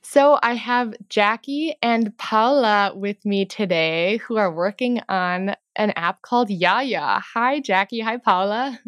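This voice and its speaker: A woman's voice: medium pitch, slow, few pauses, fairly bright tone. A woman hosting a conversational interview, upbeat and encouraging toward her guests. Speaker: host.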